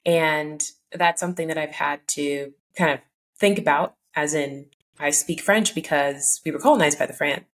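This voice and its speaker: Low-voiced woman podcast host. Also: fairly bright tone. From Cameroon. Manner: measured, thoughtful, with frequent "um" pauses. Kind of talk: casual conversational interview.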